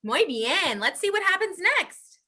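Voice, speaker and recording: A woman's voice: extremely high pitch, very animated, measured pace, pushed and bright. A woman teaching bilingually at an interactive children's story time, upbeat and encouraging.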